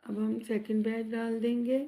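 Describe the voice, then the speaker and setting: A woman's voice: on the high side, monotone, normal speed, mellow, rough recording. A woman hosting a home cooking vlog, talking viewers through frying snacks while nursing a sore throat, chatty and friendly with her audience. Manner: chatty, addresses viewers as guys, asks for subscribes. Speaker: cooking channel host. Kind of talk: casual cooking vlog narration. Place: home kitchen.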